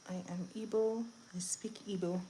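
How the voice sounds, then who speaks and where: Lower-voiced woman, chatty, with background noise. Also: steady tone, moderate pace, dark tone, low-quality recording. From eastern Nigeria. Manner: chatty, instructive, talking to viewers while working. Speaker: makeup tutorial host on a livestream. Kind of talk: casual chatty makeup tutorial, greeting viewers. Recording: clear background noise, room ambience.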